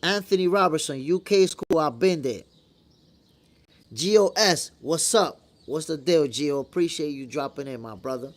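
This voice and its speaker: A man with a fairly high voice and a New York accent talks boxing on a live stream. He is conversational and slangy, speaking straight to his viewers like friends as he gives his opinions. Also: normal speed. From New York, after moving from the Dominican Republic at eight. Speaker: boxing show host. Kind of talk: casual live-stream commentary, answering viewer comments.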